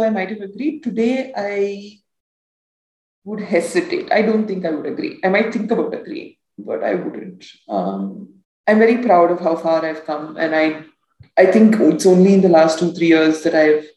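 A woman with a notably low, deep voice talks through her thoughts in a candid, reflective way. She laughs easily as a podcast guest. Her voice sits in a roomy, echoey space. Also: darkish tone. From South Asia. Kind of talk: candid conversational interview.

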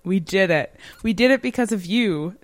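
A woman's voice: mid-range voice, animated, normal speed, no echo. A woman co-hosting a podcast in casual chat, laughing often and talking with lots of fillers. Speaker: podcast co-host.

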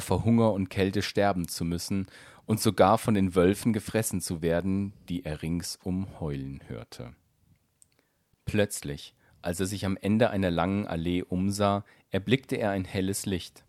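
A man reading a fairy tale aloud as an expressive, scripted storybook narration. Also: low-pitched, no echo, studio quality.

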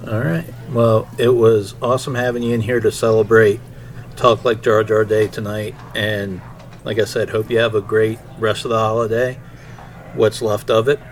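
A man's voice: lowish voice, level tone, normal speed, slight background noise. A man hosting a podcast, speaking casually to his guest and listeners. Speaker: podcast host.

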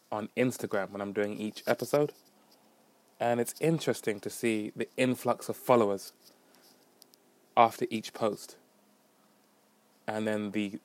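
A man's voice: lowish voice, no echo. A young man hosting his own podcast, rambling in a casual, self-deprecating way with wry asides. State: slight residual cough. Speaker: podcast host. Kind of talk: casual solo monologue.

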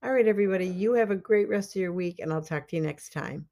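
A woman's voice: lowish voice, fast talker. A middle-aged woman hosting a solo podcast, talking casually and encouragingly to her listeners and laughing often. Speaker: podcast host and life coach.

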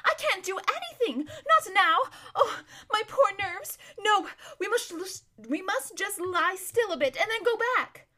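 A frightened, silly young lady of the court. Her voice is extremely high and swoops about in gushing whimpers. She is tearful and melodramatic, squeaking out every complaint. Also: animated, unhurried, bright, forceful tone, full character voice. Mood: panicked, squeaky.

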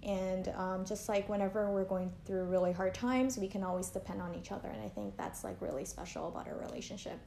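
A young woman from Canada, chatting easily as an interviewee. She talks loosely and leans on fillers and likes. Her voice sits at an ordinary pitch, and the recording has a roomy echo. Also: a little fast, gravelly, hardly any pauses, warm tone, slight background noise. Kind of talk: casual interview chat. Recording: echoey room.

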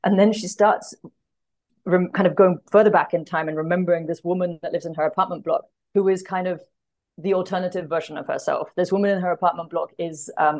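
A woman's voice: fairly low pitch, a little mellow. A woman talking through a book in a casual discussion, as a podcast guest, in a thoughtful, hesitant way that drifts into asides. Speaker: podcast guest, literary translator.

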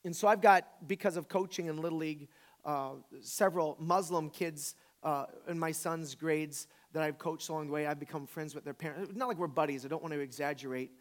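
A man in his forties with a notably high voice delivers a conversational sermon. He is a pastor from the American South who talks informally, leaning on repetition for emphasis. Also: brisk. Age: 40s. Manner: informal, emphatic, repeats phrases for effect.

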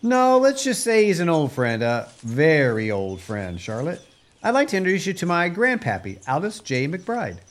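A man's voice: a little high, huge pitch swings, normal speed. A man playing an earnest, plain-spoken young rancher in a family radio play, with rueful country phrasing. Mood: wry.

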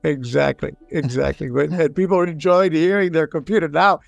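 A man's voice: fairly high pitch, normal speed, creaky, no echo, muffled recording, soft background music. A man in his sixties from Texas who grew up in Puerto Rico, telling stories as a guest in a casual interview, with lots of fillers. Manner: chatty storyteller, lots of fillers. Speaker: guest, business founder.

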